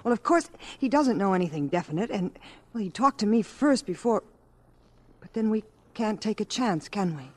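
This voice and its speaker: A woman's voice: medium pitch, animated, moderate pace, darkish tone, natural voice. An actress in a radio drama playing an anxious, earnest married woman who speaks politely and pleadingly. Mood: worried.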